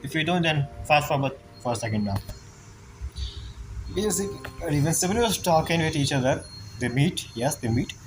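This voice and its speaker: Higher-voiced man musing over a game. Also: expressive, moderate pace, rough recording. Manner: rambling, musing aloud. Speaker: gaming streamer. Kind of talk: casual game playthrough commentary, reading dialogue aloud. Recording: game music behind the voice. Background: game music.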